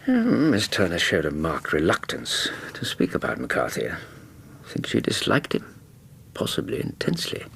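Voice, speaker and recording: Courteous English physician for radio play: low, very expressive intonation, reassuring bedside manner, tactful questions. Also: male voice, moderate pace, vocal fry, a little hiss or hum, exaggerated voice. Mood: conversational, reporting. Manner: courteous, reassuring, gently probing. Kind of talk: radio drama acting.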